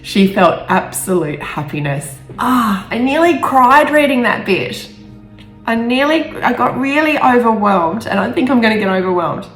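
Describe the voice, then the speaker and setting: A woman author speaking in a halting, emotional way, stumbling over words now and then. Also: fairly high pitch, expressive, a bit bright. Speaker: author reading own novel aloud. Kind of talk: amateur storybook reading with personal asides. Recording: roomy echo with faint music.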